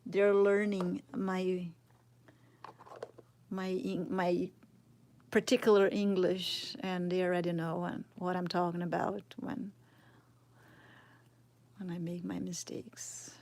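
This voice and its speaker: A woman's voice: fairly low pitch, level tone, darkish tone, a little hiss or hum. A woman in her thirties from Brazil with a Brazilian Portuguese accent, casually teaching sculpting live and thinking aloud, often dropping to a murmur as she works. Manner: slow, thinking aloud, drops to murmurs while working. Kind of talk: casual live tutorial, answering chat.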